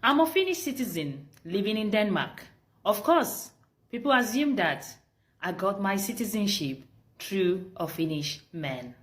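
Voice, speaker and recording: A woman's voice: medium pitch, sing-song, measured pace. A woman speaking in a wry monologue with a repeated refrain. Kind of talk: spoken monologue clip.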